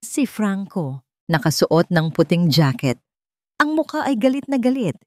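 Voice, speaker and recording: A woman narrating a scripted romance story in a measured, dramatic way. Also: fairly low pitch, sing-song, a little mellow, no echo, studio quality.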